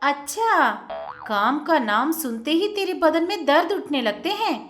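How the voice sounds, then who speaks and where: Woman doing a shrill stepmother, bullying, high and swooping, yelling insults. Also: sing-song, measured pace, soft background music, exaggerated voice. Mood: scolding, shouting. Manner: shouting, scolding, insulting.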